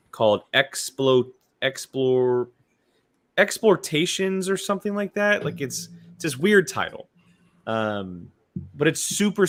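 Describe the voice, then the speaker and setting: Guy cohosting a movie show, mid voice, halting casual talk, super dry sound. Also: medium pitch, a bit bright, no echo. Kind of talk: casual chat.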